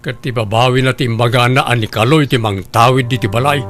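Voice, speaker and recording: A man's voice: medium pitch, steady tone, fast talker, music in the background, close to an everyday voice. A man voicing a scheming husband who coaxes his wife in an Ilocano audio drama. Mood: coaxing, scheming. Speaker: voice actor.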